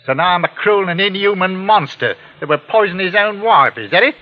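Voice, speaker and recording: An actor playing a sly, scheming middle-aged working-class English uncle in a 1940s radio drama, oily and mock-friendly. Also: male voice, high-pitched, animated, brisk. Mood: mock-offended, scheming. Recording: dull old radio recording, reverberant.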